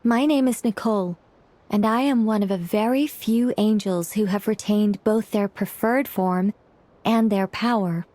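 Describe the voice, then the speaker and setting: A woman's voice: medium pitch, unhurried, dark tone, natural voice. A woman voicing a polite, formal angel in a video game, earnest and courteous. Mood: composed. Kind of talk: video game character acting.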